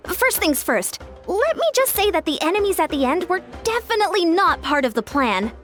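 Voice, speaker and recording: A woman voicing a young, earnest and diligent sports coach in a video game, brisk and matter-of-fact. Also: very high-pitched, big pitch swings, fairly bright tone, faint music behind, heavily acted. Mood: attentive. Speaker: coach and commentator. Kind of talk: video game character acting.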